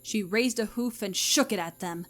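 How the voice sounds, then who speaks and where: A woman's voice: medium pitch, moderate pace, a bit bright. A woman reading scripted story narration as the narrator of an audio play. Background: music.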